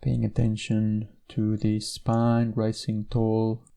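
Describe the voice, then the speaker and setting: A man guides a meditation in a lowish, relaxed voice. There is a noticeable creak in his tone. He gives each instruction in a measured way, leaving gentle pauses between phrases.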